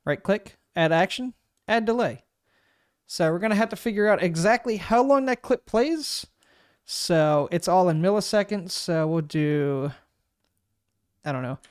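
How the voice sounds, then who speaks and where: Smooth, dry-voiced man explaining software. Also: sits high, no echo. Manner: relaxed, thinks aloud while clicking through steps. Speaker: streamer giving a software tutorial. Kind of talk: casual screen-recorded walkthrough. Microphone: desk microphone.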